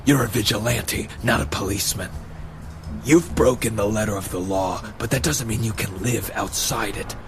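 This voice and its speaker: A man's voice: lowish voice, moderate pace, faint music behind, close to an everyday voice. A man acting as a stern, righteous masked vigilante in an audio drama, speaking in a solemn, formal, commanding way.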